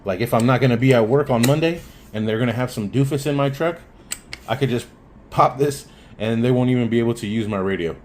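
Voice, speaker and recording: A man's voice: mid-range voice, quick pace, darkish tone, a little hiss or hum. A man talking through a hands-on tutorial in an informal, plainspoken way, thinking aloud as he explains. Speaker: hobbyist installer giving a how-to. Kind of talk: casual hands-on tutorial talk.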